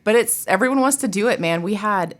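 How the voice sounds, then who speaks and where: A woman's voice: mid-range voice, expressive, a little fast. A woman chatting informally and expressively as an interview guest, like a college theatre professor. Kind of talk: casual interview chat.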